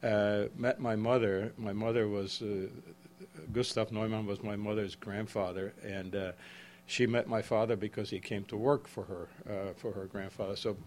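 An older man in his seventies, originally from the Czech Republic, speaks as an author to a live audience. His voice sits fairly low, and he talks haltingly, often restarting and correcting himself mid-sentence. A faint noise runs underneath. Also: little pitch movement, darkish tone. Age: 70s. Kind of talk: book talk to a live audience. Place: event room with an audience.

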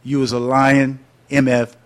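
An old grandfather in his eighties, blunt and profane, barks scornful complaints at the TV, as a man puts him on. The voice comes out loud and forceful at a middling pitch. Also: completely flat delivery, unhurried, close to an everyday voice.

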